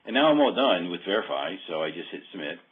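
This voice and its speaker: A man giving an informal, hesitant, explanatory walkthrough of a software demo, often rephrasing mid-sentence. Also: low voice, very animated, fast, husky. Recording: muffled phone-like call audio.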